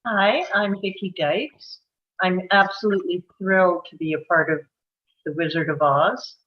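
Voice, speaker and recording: A woman's voice: on the low side, normal speed. A woman politely introducing herself as a performer over a lo-fi microphone. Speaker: table read performer.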